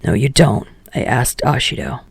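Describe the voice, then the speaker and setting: A woman voicing a gruff, foul-mouthed teenage boy with a rough growl and a curt, irritable manner in an audio story. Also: a bass voice, lively intonation, normal speed, no room sound, clean studio sound, voice pushed down, exaggerated voice. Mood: demanding. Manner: curt, snapping, swearing.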